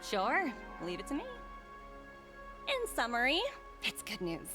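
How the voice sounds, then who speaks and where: High-voiced, poised young executive. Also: female voice, wildly swooping pitch, measured pace. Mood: businesslike, assured. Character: poised, businesslike young female corporate executive. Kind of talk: scripted video game dialogue. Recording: music mixed under the voice.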